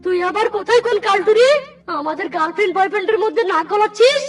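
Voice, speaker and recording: A woman's voice: very high-pitched, rapid-fire. A woman voicing a cocky, pushy, amorous male cartoon boyfriend. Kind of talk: cartoon story dialogue. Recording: music behind the voice.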